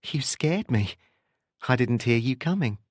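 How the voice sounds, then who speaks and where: A man's voice: mid-range voice, lively intonation, measured pace, husky, no echo, studio quality. Man voicing a curious, persistent woman. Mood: curious, teasing. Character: curious, persistent young woman, probing and smoking.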